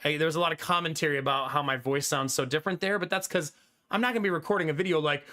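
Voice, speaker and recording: Animated, bright-voiced male streamer. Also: a little high, very fast. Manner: animated, talkative, laughs often. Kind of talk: casual chat with live chat.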